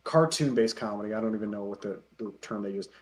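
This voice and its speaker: A man's voice: lowish voice, fast talker. A man chatting casually as an interview guest, rambling and acting out imagined lines as he explains. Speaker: guest, parody web video creator and editor. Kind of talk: animated casual chat.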